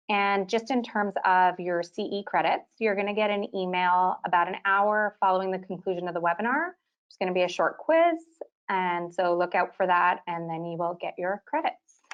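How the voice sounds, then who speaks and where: A woman in her thirties, from Quebec, Canada, presenting a continuing-education webinar as an orthodontist. She speaks in a clear, organized way and often opens her sentences with "so". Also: medium pitch, highs cut off.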